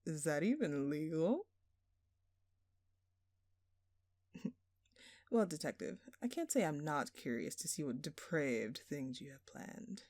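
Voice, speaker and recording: A woman's voice: low-pitched, expressive, unhurried, a little mellow, no room sound. A woman playing a smug, obnoxiously flirtatious thief in an audio roleplay, drawling and teasing in a sultry, mock-innocent way. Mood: coy, intrigued. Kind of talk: audio roleplay acting addressed to the listener.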